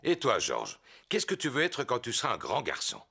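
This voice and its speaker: A man's voice: medium pitch, huge pitch swings, vocal fry, bright, forceful tone, slightly rough, exaggerated voice. A man voicing a big, jovial, affectionate daddy pig in a preschool cartoon, fatherly and drawn out. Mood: amused. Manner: chuckling, drawn-out fatherly delivery.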